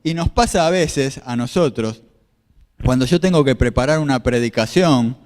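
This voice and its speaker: A male pastor preaches in a plain middle-pitched voice. He explains passages step by step, repeats himself and asks his audience questions. A long reverb of a hall trails each phrase. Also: moderate pace, a little mellow. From Argentina. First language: Spanish. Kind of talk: sermon with slides.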